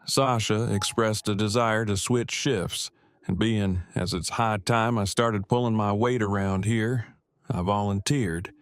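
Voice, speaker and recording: A man acts out an awkward bookish fellow in an audio drama. His voice sits fairly low, and he talks in stiff, verbose, very formal sentences with an earnest streak. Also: fairly even intonation, a little slow, clear, resonant tone, no echo, studio quality. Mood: matter-of-fact, formal. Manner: stilted, verbose, formal phrasing. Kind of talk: fan audio drama acting.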